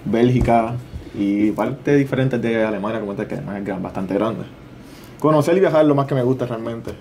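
A young man with a mixed Puerto Rican and Dominican accent, answering interview questions in a shy, modest, soft-spoken way. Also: mid-range voice, fairly quick, a little hiss or hum. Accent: Puerto Rican and Dominican mix. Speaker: interview guest. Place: small studio with brick-look wall. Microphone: desk boom mic.

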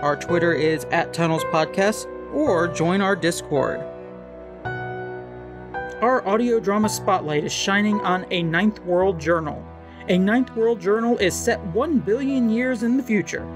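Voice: medium pitch, a little slow. An announcer reading scripted credits for an audio drama podcast. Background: music.

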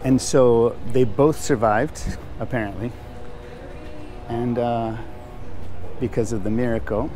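A man's voice: medium pitch, steady tone, slow, long pauses, music in the background. A man giving a casual walking tour narration as a guide. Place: outdoors on old city streets. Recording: outdoor street noise behind voice.

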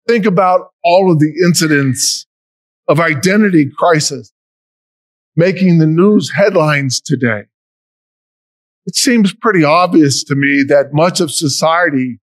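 Slow, high-voiced older deacon preaching. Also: male voice, dry recording. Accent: American. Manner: slow, measured preaching with emphatic rises. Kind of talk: sermon. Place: large church, at a lectern.

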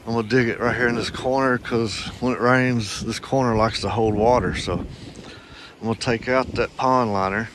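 A man's voice: mid-range voice, fairly even intonation, normal speed. A man giving a rambling, folksy walkaround of his farm, recorded outdoors on a handheld phone. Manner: rambling, folksy, thinking aloud with many fillers. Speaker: farm owner vlogger. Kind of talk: casual walkaround vlog. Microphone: phone held while walking. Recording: wind noise on phone mic outdoors. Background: wind and outdoor ambience.

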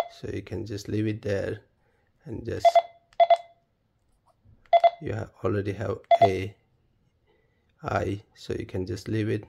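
A man presenting a step-by-step tutorial in a plain, halting, instructional manner. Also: on the low side, creaky, noisy background. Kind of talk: step-by-step spoken tutorial, unscripted.

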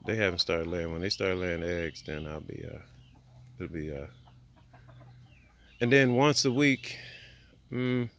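A man's voice: low voice, a little slow, long pauses, fairly bright tone. A man giving a casual homestead tour outdoors, thinking aloud with many fillers, filmed on a handheld phone. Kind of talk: casual walk-and-talk vlog. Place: outdoor backyard by chicken coops. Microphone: handheld phone camera.

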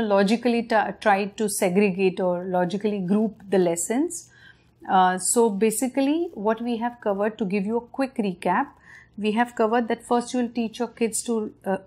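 A woman in her thirties with an Indian English accent talks straight to the camera from her living room. She walks parents through her lesson plan step by step in a friendly, patient way. She often pauses on fillers and restarts a word before going on. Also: mid-range voice, a little mellow. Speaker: parenting channel host. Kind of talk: explaining a home reading method to parents on camera. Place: living room at night in front of a large window.

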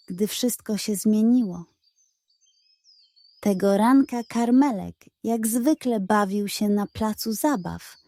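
A woman narrating a children's bedtime story from a script, expressive and carefully enunciated. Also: medium pitch, no room sound.

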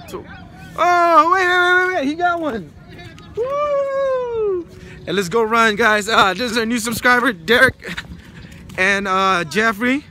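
A man in his thirties hosting a casual night fishing vlog on a pier, talking into a handheld camera, often whooping and laughing. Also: high-pitched. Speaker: vlog host. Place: outdoors on a harbor pier at night. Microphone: handheld camera mic. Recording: noisy outdoor camera audio. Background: traffic and outdoor noise.

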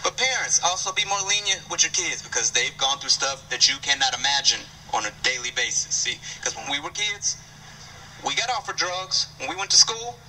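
A man in his thirties, from Chicago, doing a lively stand-up set with a handheld mic on a large stage. His voice sits high and sounds very bright. He is heard from some distance, with faint room noise behind. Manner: animated, conversational, playful teasing of the crowd. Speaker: stand-up comedian. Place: stage in a large indoor venue. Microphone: handheld microphone.

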